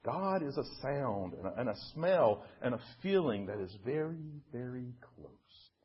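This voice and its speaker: A man's voice: medium pitch, big pitch swings, measured pace, husky, dull audio. A man voicing quarrelling animals and forces of nature in a storybook, emphatic and declamatory. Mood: insistent.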